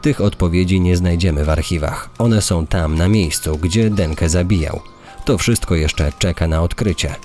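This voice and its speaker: Criminologist read by a male actor: deep male voice, serious, explanatory, documentary quote over faint music. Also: moderate pace, a little mellow, natural voice. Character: male academic criminologist quoted on unsolved murders. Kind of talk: measured reading of a quoted statement. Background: background music.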